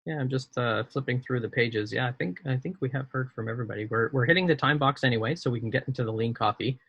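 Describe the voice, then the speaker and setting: A man's voice: medium pitch, fast talker, vocal fry, a bit bright, husky, highs cut off. A man running an online meeting, explaining the next steps in a methodical, thinking-aloud way over a video call. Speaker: meeting facilitator. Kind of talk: casual online meeting talk.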